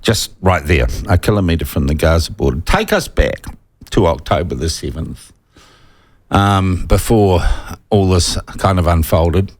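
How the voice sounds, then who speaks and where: A man with a New Zealand accent hosting a conversational radio interview in a studio, with a halting, hedging delivery. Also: low-pitched, animated. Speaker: radio talk show host. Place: broadcast studio.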